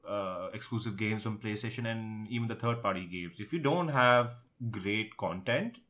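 A man's voice: lowish voice, moderate pace, sharp tone. A man co-hosting a tech podcast, casually giving emphatic opinions and repeating points for stress.

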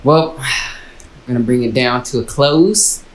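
A man's voice: mid-range voice, slow, roomy sound. A man hosting a podcast in laid-back, slangy casual chat. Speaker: podcast host.

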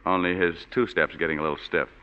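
A man's voice: low voice, fairly even intonation, quick pace, a little hiss or hum, lightly acted. An actor playing a wisecracking hard-boiled private detective who narrates his own story in a 1940s radio drama, with dry, sardonic delivery. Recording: dull 1940s radio broadcast with music.

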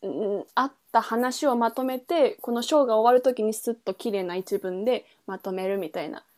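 A woman's voice: a little high, normal speed, darkish tone. A woman podcast host in a casual book chat, thinking aloud in trailing, loosely linked phrases. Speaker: podcast host reviewing a novel.

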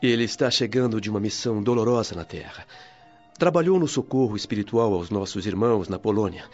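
A courteous, modest guide, played by a man, speaks softly in a low voice in a radio play. Faint music plays behind him. The sound is dull and lo-fi. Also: brisk, close to an everyday voice. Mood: gentle. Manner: soft-spoken, courteous, humble. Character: gentle, modest male friend and guide. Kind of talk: radio drama dialogue.

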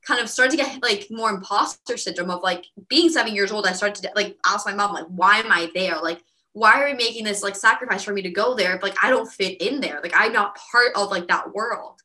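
A young woman from Venezuela, a podcast co-host, telling her own story in a casual, animated way full of "like" fillers. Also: mid-range voice. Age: young adult. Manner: fast, filler-heavy, animated with frequent "like".

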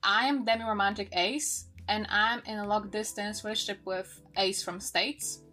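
Young Polish-accented woman, twenties, bright tense tone, nervous halting delivery, faint music under. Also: mid-range voice, fairly even intonation. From Poland. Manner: earnest, nervous, halting with restarts. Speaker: podcast guest. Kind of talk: casual chat.

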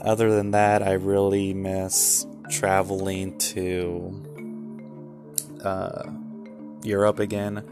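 A man's voice: deep voice, monotone, slow, pauses often, music in the background. A man in his twenties talking candidly in a casual vlog, rambling with many fillers and restarts. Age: 20s. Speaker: vlogger.

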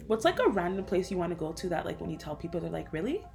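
A woman's voice: fairly low pitch, big pitch swings, fast, creaky, a little mellow, soft background music. A woman in her twenties co-hosting a casual chat with a friend, slangy, teasing and easygoing. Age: 20s.

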